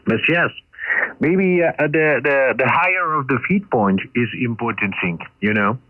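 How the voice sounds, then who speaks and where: Over a ham radio link, a man who is not a native English speaker talks haltingly, searching for words and repeating himself. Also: medium pitch, expressive, no echo. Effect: ham radio transmission. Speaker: amateur radio operator. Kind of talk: casual radio conversation. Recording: muffled, band-limited shortwave radio signal.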